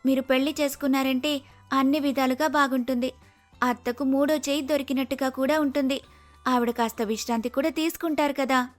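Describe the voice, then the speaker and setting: A woman voicing a cheerful, respectful young woman in an animated moral story. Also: high-pitched, a little fast, soft background music, lightly acted. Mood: eager, persuading. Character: brave, cheerful, respectful young woman, a helpful house guest.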